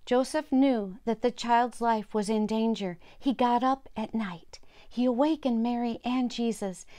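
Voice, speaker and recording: An older woman telling Bible stories for children in short, simple sentences, pausing to explain. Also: on the high side, dark tone. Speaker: storyteller and host of a children's story program.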